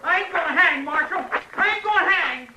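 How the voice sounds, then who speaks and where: A man's voice: extremely high pitch, lively intonation, a little fast. An actor playing a scared, defiant runaway frontier boy with a rural American accent, folksy and earnest in old-time radio style. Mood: frightened, defiant. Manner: folksy, earnest, stammering when cornered. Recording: noisy old radio drama recording.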